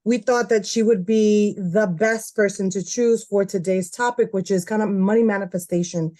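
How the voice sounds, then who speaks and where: A woman's voice: medium pitch, steady tone, moderate pace, mellow. A woman hosting a podcast, introducing her guest in a chatty, giggly way with plenty of filler words. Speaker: podcast host. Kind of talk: casual podcast chat.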